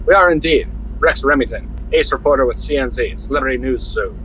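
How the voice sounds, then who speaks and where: An actor playing a brash, wisecracking celebrity-news reporter in a glib, cocky manner for a comedic audio drama. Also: male voice, mid-range voice, brisk, fairly bright tone, big-room sound, slight background noise, close to an everyday voice, low-quality recording. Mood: smooth, confident. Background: music.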